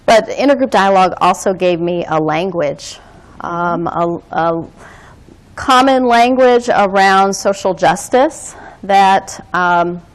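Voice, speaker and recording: A woman who trains dialogue facilitators, speaking in a measured, reflective way with frequent pauses. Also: medium pitch. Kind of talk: reflective conversational talk.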